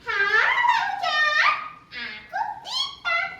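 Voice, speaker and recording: Storybook bird, bubbly and very high, recorded at a distance in an echoing room. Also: female voice, big pitch swings, extremely slow, gravelly, exaggerated voice. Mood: cheerful, eager. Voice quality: shrill, ringing. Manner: bubbly, eager. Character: cheerful magical pink talking bird, shrill and bouncy. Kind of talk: theatrical children's storytelling. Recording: roomy, distant mic with background noise.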